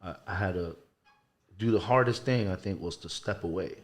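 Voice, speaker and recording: A man with a low voice speaks as a coach in a casual interview. He is earnest and informal, often repeating a phrase to drive it home. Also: moderate pace. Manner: informal, earnest, emphatic repetition. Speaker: coach and gym owner, podcast guest.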